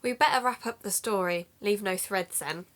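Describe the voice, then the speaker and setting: A woman's voice: mid-range voice, moderate pace, a bit bright, natural voice. An actress in an audio drama playing an earnest, matter-of-fact woman who hosts an investigative podcast. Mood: businesslike.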